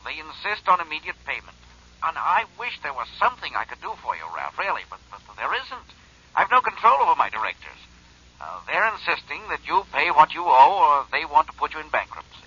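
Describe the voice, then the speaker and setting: An actor playing a hesitant, apologetic creditor executive in a 1940s radio drama, often stammering. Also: male voice, a little high, big pitch swings, a little fast, pushed and bright, slightly rough, full character voice. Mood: uneasy. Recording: dull lo-fi 1940s radio broadcast.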